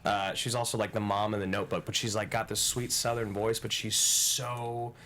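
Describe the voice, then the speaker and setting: Male panelist, low-pitched, informal and emphatic, casual chat, faint background rumble. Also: flat delivery, moderate pace, pushed and bright.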